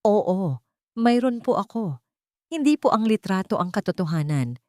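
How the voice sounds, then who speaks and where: A woman's voice: mid-range voice, sing-song, moderate pace, darkish tone, no echo, clean studio sound, close to an everyday voice. Woman voicing a resolute protective billionaire man. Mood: firm, defiant. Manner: firm, formal, declarative. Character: protective male billionaire defending his beloved, resolute.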